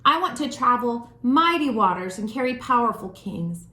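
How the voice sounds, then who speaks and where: A woman's voice: a little high, expressive, normal speed, bright, forceful tone. A woman as a bold little boy tree, echoey. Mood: bold, eager. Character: second little tree, ambitious young male tree. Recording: roomy, echoing room sound.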